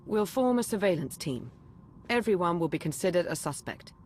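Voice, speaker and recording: An actress playing a grave, calculating adviser in a video game, formal and deliberate. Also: female voice, on the low side, animated, darkish tone, slight background noise, close to an everyday voice. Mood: calculating, resolute. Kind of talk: cinematic video game voice acting.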